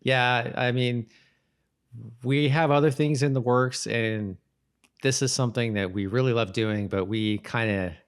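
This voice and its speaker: A man co-hosting a podcast in a casual, chatty style, often halting and rephrasing as he goes. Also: mid-range voice, clean tone. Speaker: podcast host. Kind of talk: casual co-hosted chat about a TV show.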